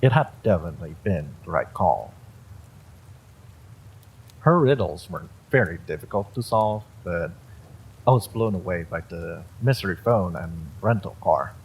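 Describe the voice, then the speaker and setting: A man's voice: low-pitched, animated, unhurried, husky, rough recording. A man reading a scripted first-person horror story as its narrator.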